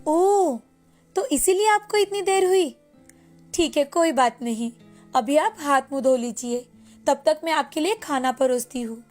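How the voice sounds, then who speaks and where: A woman voicing a caring, dutiful wife in a storybook moral tale, with a gentle, accommodating manner. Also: sits high, measured pace, faint music behind. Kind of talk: dramatized Hindi moral story.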